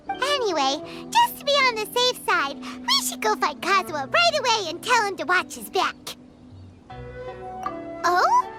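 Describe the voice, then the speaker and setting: A woman voicing a tiny, excitable floating fairy companion in a video game, with a squeaky childlike voice and an exclamatory manner. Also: exaggerated voice. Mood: pondering, chatty. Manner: exclamatory, speaks of herself in third person. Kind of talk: animated video game voice acting.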